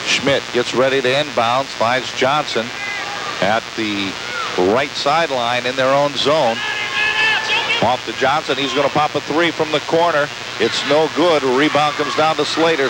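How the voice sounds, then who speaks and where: A man doing live radio play-by-play of a basketball game, calling the action in clipped present-tense phrases. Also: on the high side. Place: courtside in an indoor sports dome. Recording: very noisy old radio recording with hiss.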